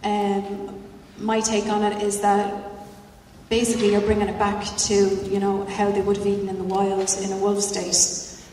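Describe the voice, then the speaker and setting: A woman on a festival panel talks in a flat, mellow voice, wandering through her answer with plenty of "you know" asides. She sits far from the mic in a big, echoing hall with faint noise behind. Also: mid-range voice, normal speed.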